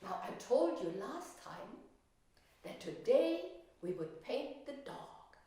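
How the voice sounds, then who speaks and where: A woman's voice: a little high, very animated, slow pace, dark tone. A woman in her sixties, an art teacher and storyteller, explaining things simply as if to young children in a classroom. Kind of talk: children's storytelling and painting lesson. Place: wooden-floored classroom, indoors. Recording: very echoey room, distant mic.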